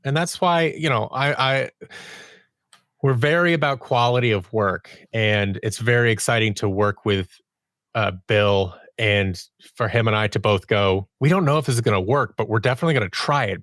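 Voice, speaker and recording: A man's voice: lowish voice, moderate pace, fairly bright tone, no room sound, clean studio sound. A man talking casually as a podcast guest about his craft, explaining things enthusiastically and often voicing imagined quotes. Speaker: audio drama writer and producer, interview guest.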